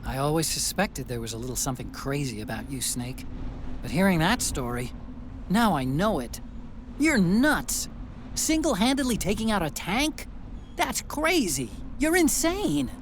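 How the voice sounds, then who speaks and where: An animated, nerdy tech sidekick. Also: male voice, on the high side, a little slow, a little hiss or hum. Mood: excited, amazed. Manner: chatty, animated, teasing. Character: earnest, excitable nerdy male tech sidekick. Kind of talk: video game cutscene acting.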